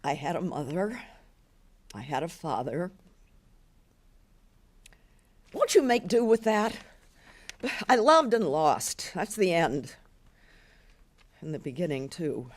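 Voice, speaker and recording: A woman reading a storybook part as a reluctant old woman haunted by memory, halting, hesitant and defensive. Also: low-pitched, big pitch swings, voice pushed down, heavily acted. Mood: resigned, pleading.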